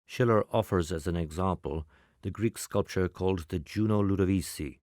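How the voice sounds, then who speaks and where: Male narrator, low voice, dry close sound, formal and measured, clipped spy-file report. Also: darkish tone, dry recording. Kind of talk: scripted spy-dossier documentary narration.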